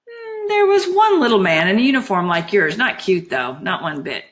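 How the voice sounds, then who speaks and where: A woman's voice: mid-range voice, sing-song, normal speed, natural voice. A woman voicing a flighty, sulky teenage girl in a storybook, chatty and slangy, gushing one moment and petulant the next.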